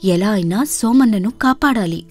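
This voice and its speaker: A woman voicing a tender-hearted young village daughter in an animated moral story, earnest and pleading. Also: medium pitch, moderate pace, no room sound, faint music behind, close to an everyday voice. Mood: pitying.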